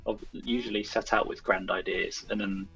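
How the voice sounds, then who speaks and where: A man with a fairly low voice sits a little away from the mic with music playing behind him. He chats casually as a guest developer, hesitant and self-effacing, letting his sentences wander. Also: steady tone, normal speed, creaky, fairly bright tone, far from the mic, dull audio. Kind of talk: casual interview chat.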